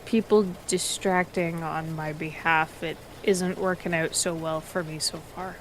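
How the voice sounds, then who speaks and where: A woman plays a stoic male mage in a tabletop roleplaying game. He is stiff and reserved, talking drily in a lowish female register over faint background noise. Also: fairly even intonation, measured pace, poor audio. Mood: dry, resigned.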